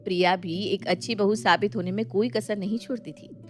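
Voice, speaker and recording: A woman's voice: mid-range voice, steady tone, brisk, soft background music. A woman narrator delivering scripted storytelling for a family moral tale.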